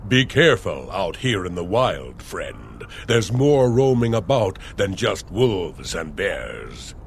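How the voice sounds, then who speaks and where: A man's voice: low voice, very animated, takes it slowly, vocal fry, a little hiss or hum, full character voice. An actor playing a hearty Nordic man of the wilds in a fantasy video game, with archaic, declamatory phrasing. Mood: friendly warning.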